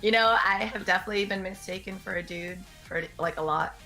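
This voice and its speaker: A young adult woman telling a casual story as a guest, easygoing and quick to laugh. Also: mid-range voice, bright, forceful tone, faint music behind. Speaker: guest co-host. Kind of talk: casual chat.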